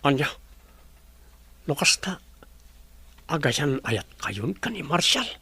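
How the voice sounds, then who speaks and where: A man playing a jealous, lovelorn gentleman in an Ilocano radio drama, resentful and wounded, with an Ilocano accent and husky voice. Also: fairly high pitch, sing-song, slow pace, exaggerated voice. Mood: hurt disbelief. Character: jealous, bitter lovelorn gentleman, master of the house.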